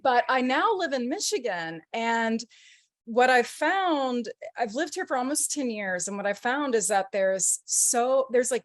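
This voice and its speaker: Earnest woman with faint Southern accent. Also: medium pitch. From Atlanta, US South. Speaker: audience participant. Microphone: video call.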